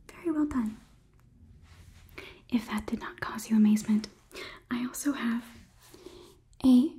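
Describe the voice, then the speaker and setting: A young woman performs a whispered ASMR roleplay as a condescending robot overlord. Her soft, breathy voice sits fairly high and mellow, and she moves slowly through formal, deliberate sentences, close to the microphone. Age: 20s. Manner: formal, measured, deliberate phrasing. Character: polite, condescending robot overlord examining a human. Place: small indoor studio set. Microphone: close microphone, off camera.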